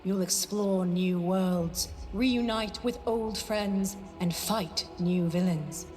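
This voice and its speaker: A woman playing a wise, solemn female mentor in a game, speaking in a measured, prophetic way. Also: mid-range voice, darkish tone, faint music behind, close to an everyday voice. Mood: solemn, reassuring. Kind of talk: scripted game narration to the hero.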